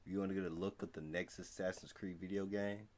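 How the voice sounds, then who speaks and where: Low-voiced young American man. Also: level tone, brisk. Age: young adult. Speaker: reaction video host. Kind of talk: casual reaction commentary. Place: small room at home, indoors.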